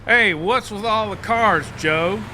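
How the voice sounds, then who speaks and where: A man's voice: high-pitched, slow, pushed and bright, slight background noise, full character voice. A man voicing a brash, crude country cowboy killer in an audiobook, full of folksy insults and sayings. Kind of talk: audiobook character acting.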